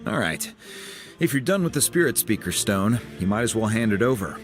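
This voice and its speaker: A man playing an easygoing, gruffly kind fatherly mentor, measured and avuncular, in a video game. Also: lowish voice, very animated. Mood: casual. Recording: music under the voice.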